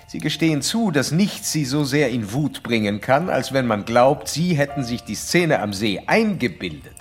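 An actor playing an insistent psychoanalyst who lectures a patient in formal, didactic, old-fashioned German radio drama style. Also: male voice, medium pitch, big pitch swings, normal speed, faint music behind, lightly acted. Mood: lecturing. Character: male psychoanalyst doctor, insistent, certain, lecturing his young patient.

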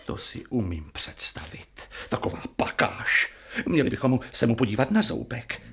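Husky, deferential official. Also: male voice, medium pitch, very animated, fairly bright tone, in a whisper, full character voice. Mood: sympathetic. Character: deferential, grateful male official warning his doctor. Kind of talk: radio drama acting.

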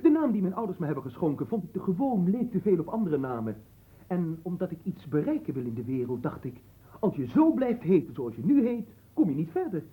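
A man's voice: high-pitched, very animated, fairly quick, narrow, tinny audio. An actor playing a poor, ambitious and cheeky young man in a radio play, speaking politely and earnestly. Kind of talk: theatrical radio play acting.

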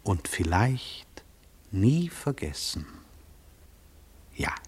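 Stern judge, slow solemn delivery, big echoey hall, old radio play. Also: male voice, on the low side, big pitch swings, a little mellow, slightly rough, heavily acted. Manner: solemn, measured. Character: grave, authoritative male judge in a courtroom. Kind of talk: classic German radio play acting. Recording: long courtroom-like reverb.

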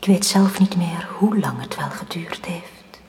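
An eerie dead young woman, played by an actress in a radio drama. She whispers very slowly, calm and insistent. A faint ambient noise lies behind her. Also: lowish voice, natural voice. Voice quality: whispery, slow. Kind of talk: 1980s radio drama acting.

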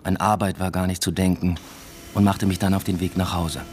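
A man's voice: deep voice, flat delivery, a little fast, a little mellow, a little hiss or hum, lightly acted. An actor playing a brooding academic father who narrates his own story in a radio drama, subdued and introspective. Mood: subdued, pensive. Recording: music bed behind the voice.

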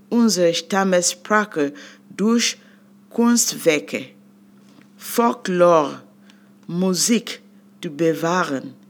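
An older woman from Cameroon, whose first language is not German, reading her own memoir aloud in a deliberate way with long pauses. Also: lowish voice. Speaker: autobiographical reader.

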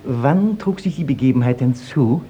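An actor playing a sly, mocking court clerk with pointed theatrical delivery in a 1950s German radio play. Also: male voice, medium pitch, animated, normal speed, dark tone, slightly rough. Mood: mocking curiosity. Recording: dull, noisy 1950s radio recording.

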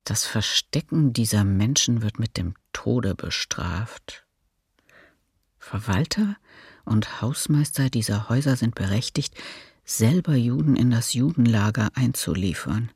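A stern, menacing police commander delivers a decree in a radio documentary drama. The voice is extremely raspy and heavily creaky. It sounds official and dry, with no room around it. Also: male voice, medium pitch, expressive, unhurried, a little mellow, no room sound, exaggerated voice. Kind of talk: documentary radio play reading.

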